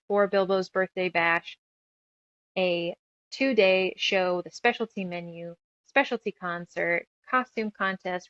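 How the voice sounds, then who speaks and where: A woman's voice: lowish voice, a bit bright, dry recording, muffled recording. A woman chatting casually as an interview guest, talkative and self-deprecating. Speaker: guest author and musician.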